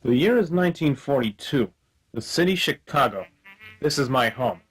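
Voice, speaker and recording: An actor playing a wry, hard-boiled 1940s Chicago private detective in a radio play, with a deadpan manner. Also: male voice, medium pitch, normal speed, slightly rough, soft background music, rough recording.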